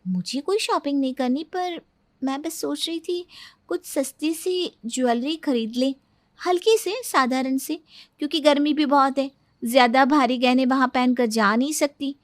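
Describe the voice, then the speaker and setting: Woman voicing a sugary, sly young daughter-in-law, high-pitched. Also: normal speed, dry recording, natural voice. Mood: sweet, coaxing. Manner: coaxing, sugary, self-assured. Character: sweet-talking, sly younger daughter-in-law who wheedles her mother-in-law. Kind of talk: Hindi cartoon story dialogue.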